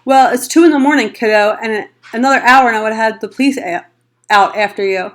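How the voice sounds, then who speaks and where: A woman reading aloud, voicing a stern older brother who shouts and scolds. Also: on the high side, normal speed, natural voice. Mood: angry, shouting. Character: furious teenage older brother, stern and worn.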